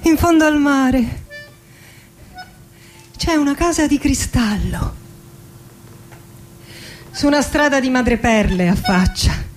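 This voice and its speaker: High-voiced, melancholy woman poet, slow and dramatic. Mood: dreamy, wondering. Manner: slow, dramatic, hushed, often breaking into whispers. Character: melancholy 46-year-old woman poet bidding farewell to life. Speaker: actress and director. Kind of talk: theatrical poetic monologue with music. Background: music.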